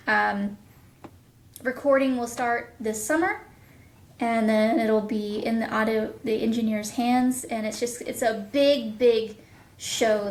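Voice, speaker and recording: Higher-voiced woman in an echoey room. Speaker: audio drama writer and producer, podcast guest. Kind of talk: casual interview chat.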